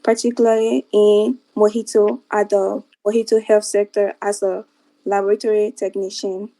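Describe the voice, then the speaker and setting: A woman's voice: mid-range voice, level tone, normal speed, mellow, low-quality recording. A woman from Liberia, introducing herself as a guest on a talk show. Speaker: guest, missionary laboratory technician.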